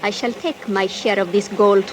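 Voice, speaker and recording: A woman's voice: mid-range voice, steady tone, moderate pace, lightly acted. An actress playing a fierce young Mexican woman after gold, in a Mexican Spanish accent, in 1940s radio drama style, speaking defiantly. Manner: defiant, threatening. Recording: old radio broadcast, faint hiss.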